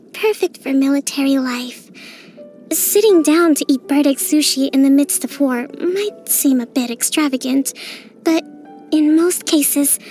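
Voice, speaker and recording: Game character: a composed young woman priestess-tactician, high and gentle, with faint music underneath. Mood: cheerful. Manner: gentle, composed, softly playful. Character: young female island priestess and strategist, calm and composed. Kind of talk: game voice lines.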